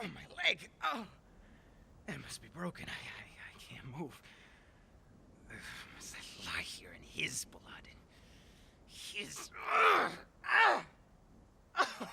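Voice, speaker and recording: A desperate crash survivor, parched and in pain, dragging words out very slowly in a sharp, piercing voice. The pitch swings wildly and the words come in broken, melodramatic repeats. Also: gravelly, a little hiss or hum, exaggerated voice. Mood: frantic, manic. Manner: halting, gasping, repeating words, breaking into laughter and whispers. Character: desperate injured crash survivor stranded in desert, unhinged, destiny-obsessed. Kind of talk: melodramatic radio drama acting.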